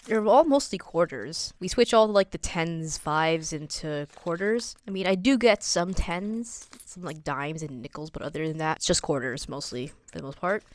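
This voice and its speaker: Low-voiced young woman vlogging at home. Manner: quick talker who stumbles over words. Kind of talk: casual chat to camera. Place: at home, indoors.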